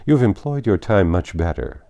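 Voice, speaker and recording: A man voicing a proud, reserved young gentleman in a storybook reading, formal and stiffly courteous. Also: lowish voice, expressive, moderate pace. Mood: gallant.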